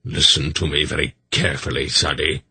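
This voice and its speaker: A man voicing a testy, gruff old man who turns quietly menacing, in a low husky voice. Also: steady tone, brisk, no echo, exaggerated voice. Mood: stern.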